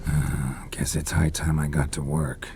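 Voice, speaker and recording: An actor playing a weary, gruff male monster hunter with terse, dry, sarcastic delivery in a video game. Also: a bass voice, flat delivery, a little slow, mellow. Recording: game cutscene with music score.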